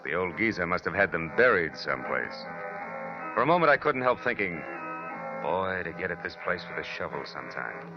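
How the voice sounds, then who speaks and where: A man's voice: low voice, sing-song, normal speed. A man with an American accent playing a cynical, wisecracking private detective who narrates his own case in a 1940s radio drama. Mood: wry, driven. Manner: hardboiled, wry, slangy. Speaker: narrator and lead actor. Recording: muffled vintage radio broadcast with music.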